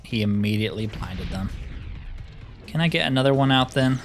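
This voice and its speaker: A man hosts a gaming video in an ordinary mid-pitched voice, with music playing faintly behind him. He talks through his play casually, thinking aloud with wry grumbles. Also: lively intonation, normal speed. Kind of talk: casual let's play commentary.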